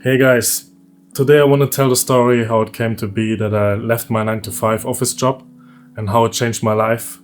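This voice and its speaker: A man in his thirties with a German accent, telling a candid personal story for his vlog in a relaxed, conversational way, often laughing as he goes. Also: medium pitch, moderate pace, faint music behind. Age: 30s. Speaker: vlogger.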